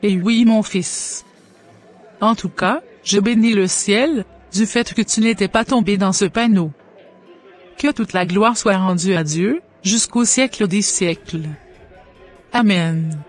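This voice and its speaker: A man's voice: high-pitched, slow pace. A man voicing a devout, thankful mother of a grown son in scripted cartoon dialogue, with pious exclamations.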